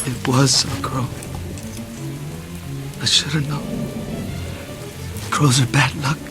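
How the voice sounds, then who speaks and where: A man's voice: medium pitch, flat delivery, slow. An actor playing a wounded, fatalistic young soldier in an audio drama, his voice strained with pain. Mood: pained, resigned. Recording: loud music, rain and thunder behind.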